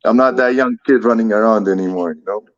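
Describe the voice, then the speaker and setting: Man in his thirties, foreign accent, very low voice, dry dull sound, laid-back, swears a lot. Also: fast, no echo. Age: 30s. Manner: laid-back, swears often, repeats himself. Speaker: MMA fighter, guest. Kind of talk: casual interview. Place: Ottawa.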